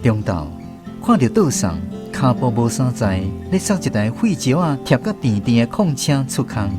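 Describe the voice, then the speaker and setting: A man with a Taiwanese accent narrating a scripted radio drama as a grown son, reflective and expressive. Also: medium pitch, moderate pace. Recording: music behind the voice.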